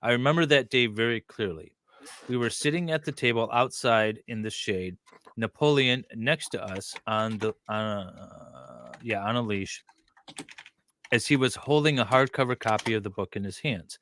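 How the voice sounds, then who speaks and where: A man's voice: on the low side, moderate pace, fairly bright tone, slight background noise. A man co-hosting a livestream, reading aloud casually and breaking off for joking asides. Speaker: co-host of a true-crime livestream.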